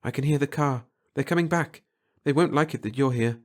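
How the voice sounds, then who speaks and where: A man's voice: medium pitch, fairly quick, voice pushed up, lightly acted. A man voicing a frightened young woman with an urgent, pleading manner in a story reading. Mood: anxious, urgent.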